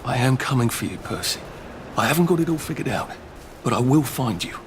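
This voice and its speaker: A man's voice: medium pitch, animated, brisk, background noise, close to an everyday voice. An actor playing an anxious, sardonic English man on the run in a video game, muttering to himself in politely exasperated tones. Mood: wry musing. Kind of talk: video game character acting.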